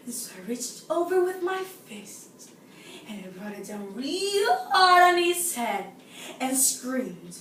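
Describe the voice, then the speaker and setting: Young woman acting a high-pitched, frustrated, unhinged woman. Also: sing-song, slow, heavily acted. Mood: tearful, simmering. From Nicaragua. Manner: dramatic, confiding, swinging from hushed to shouting. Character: frustrated, self-absorbed, unhinged woman venting about daily life. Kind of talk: theatrical audition-style stage monologue. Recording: roomy, distant mic, faint noise.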